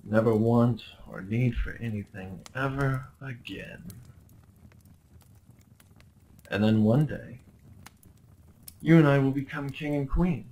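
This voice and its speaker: A man's voice: medium pitch, measured pace, dark tone, a little hiss or hum, lightly acted, poor audio. A man playing a young, obsessive medieval prince in a dramatic ASMR roleplay, speaking in courtly, theatrical phrases with a possessive, menacingly tender undertone. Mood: possessive, coaxing. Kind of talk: dramatic ASMR roleplay monologue addressed to the listener.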